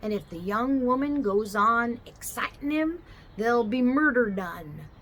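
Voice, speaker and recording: Storybook Cockney cabby, gruff yet kind, slow and a touch higher, as a woman reader puts him on. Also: animated, fairly bright tone, slight background noise. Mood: urgent. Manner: dropped h's, drawn-out words. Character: red-faced Cockney cab driver, middle-aged man, gruff but kindly.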